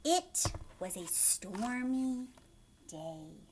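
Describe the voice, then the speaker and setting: A woman's voice: a little high, sing-song, very slow, a little mellow, a little hiss or hum. A woman teacher reading a picture book aloud to young children, expressively, with questions and asides to her listeners.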